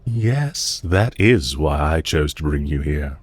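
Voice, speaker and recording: A man playing a calm, courteous villain leader in an audio roleplay, measured, formal and politely condescending, with quiet menace and amusement. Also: rumbling low, husky, exaggerated voice. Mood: amused, composed. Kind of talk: audio roleplay, intimate scripted acting addressed to listener.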